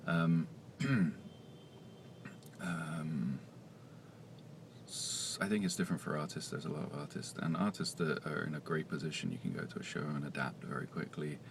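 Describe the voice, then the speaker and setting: A man who writes comics, talking quietly and hesitantly in a casual vlog monologue, with many pauses and fillers. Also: a bass voice, creaky, background noise.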